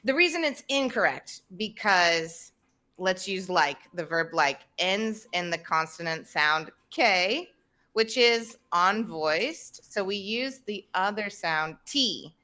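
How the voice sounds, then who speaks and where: A woman, hosting a podcast and explaining English pronunciation clearly over a video call. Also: mid-range voice, lively intonation, takes it slowly, bright, forceful tone. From Tennessee, United States. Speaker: podcast host and English teacher. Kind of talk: casual teaching chat. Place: home room, indoors. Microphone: video call, webcam.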